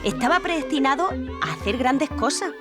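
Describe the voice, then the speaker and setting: A woman's voice: high voice, animated, moderate pace, over loud music. A woman playing an earnest, admiring witness giving testimony in a documentary-style audio drama.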